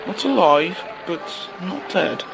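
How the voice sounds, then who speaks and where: A man's voice: high-pitched, sing-song, takes it slowly, gravelly, background noise, full character voice. A man playing a stern, merciless judge in an audio drama, speaking formally and declaiming each sentence. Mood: cold, solemn. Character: stern, merciless male judge of an alien court.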